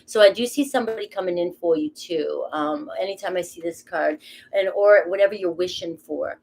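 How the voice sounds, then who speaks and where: A woman's voice: on the low side, a little fast, gravelly, a little mellow, husky. A middle-aged woman with a Boston accent giving a casual, rambling tarot reading, swearing casually as she talks. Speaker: tarot reader.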